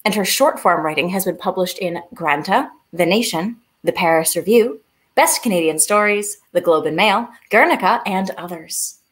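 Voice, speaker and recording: A young woman hosts an online book festival, reading the announcements in an upbeat, scripted way. Her voice sits a little low for a woman. She speaks over a video call from her living room. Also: expressive, normal speed. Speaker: festival host.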